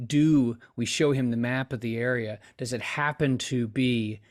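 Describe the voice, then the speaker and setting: A middle-aged man playing a tabletop game, casually reasoning aloud with halting restarts. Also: medium pitch, fairly even intonation. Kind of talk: casual improvised game discussion. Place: indoors on a video call.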